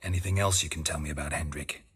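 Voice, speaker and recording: Very deep, husky stoic mercenary. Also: male voice, quick pace, full character voice. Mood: stern, unbothered. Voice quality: gravelly, husky. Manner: terse, flat, dry. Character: gruff stoic male monster-hunting mercenary, weary and menacing. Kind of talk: video game acting.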